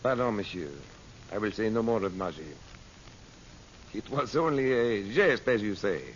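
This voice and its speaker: A man's voice: lowish voice, lively intonation, moderate pace, natural voice. Man playing a wheedling, polite sorcerer, muffled recording. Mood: wheedling, deferential. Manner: polite, insinuating, French phrases. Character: male hero magician disguised as deferential, wheedling French-speaking sorcerer. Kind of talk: old-time radio adventure acting. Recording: muffled, hissy old radio recording.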